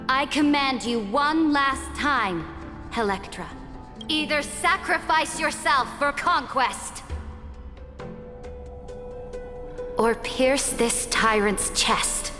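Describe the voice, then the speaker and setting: Game role: unrepentant warrior empress, high and declamatory, long reverb, clear music behind. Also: female voice. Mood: solemn, commanding. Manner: grand, declamatory, formal oratory. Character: proud, imperious conquering empress, commanding and unrepentant. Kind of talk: dramatic video game voice acting.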